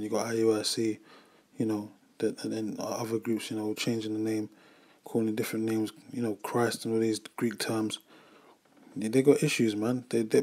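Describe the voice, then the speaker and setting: A man's voice: fairly low pitch, mellow. A man, giving an informal religious talk over a screen recording, often adding "man" and "you know" and restarting phrases. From United Kingdom. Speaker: religious group member. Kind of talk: informal preaching upload.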